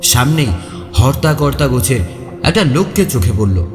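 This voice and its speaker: A man narrating a first-person horror story in an expressive, suspenseful, dramatic way. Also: medium pitch, normal speed. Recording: echoey long reverb, faint music behind. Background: faint music.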